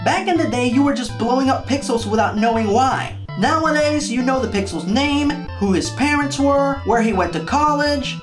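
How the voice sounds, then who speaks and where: A man's voice: very high voice, animated, normal speed, few pauses, music in the background. A man hosting a comedic scripted rant as a video game movie reviewer, exasperated, emphatic and sarcastic.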